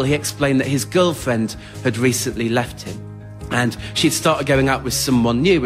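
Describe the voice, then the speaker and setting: A man tells an autobiographical story to a theatre audience in an understated, wry, plain-spoken way, as the writer and performer of a solo show. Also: on the high side. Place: theatre stage in front of an audience.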